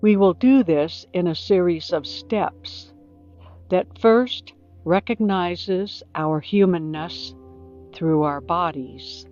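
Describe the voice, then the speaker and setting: A woman leading a scripted guided meditation in deliberate short phrases with pauses between them. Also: lowish voice, darkish tone. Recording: slightly dull, lo-fi recording with music. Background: background music.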